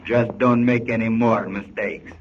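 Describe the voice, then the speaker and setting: An actor playing a terse, commanding criminal boss through a radio loudspeaker effect, in 1940s radio style. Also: male voice, fairly low pitch, fairly even intonation, unhurried. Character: commanding male criminal boss heard over ship radio. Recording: muffled noisy 1940 radio recording.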